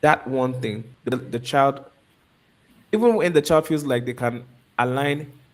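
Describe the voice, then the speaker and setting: A man hosting a live online conversation at a medium pitch. He tends to ramble, doubling up on words and restarting his thoughts before he lands on a question. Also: a little slow. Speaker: host.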